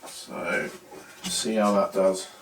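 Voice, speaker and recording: A man's voice: deep voice, steady tone, takes it slowly, a bit bright. Echoey British man reviewing tech. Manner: hesitant, thinking aloud in short fragments. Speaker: presenter of a tech product review. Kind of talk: casual unscripted review talk. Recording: roomy echo, distant mic, faint music.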